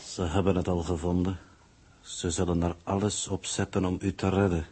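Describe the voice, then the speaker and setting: An actor playing a cold, formal, analytical alien coordinator in a 1960s radio play, in measured, detached speech. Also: male voice, low voice, level tone, darkish tone, husky. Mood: detached, musing. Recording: 1960s radio drama recording.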